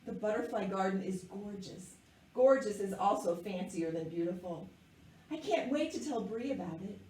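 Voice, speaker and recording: A woman's voice: medium pitch, expressive, moderate pace, dark tone, slight background noise, lightly acted. A woman voicing a dramatic, precocious little girl who loves fancy French words in a children's picture book. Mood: excited, proud. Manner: theatrical, precocious. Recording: echoey room, distant microphone.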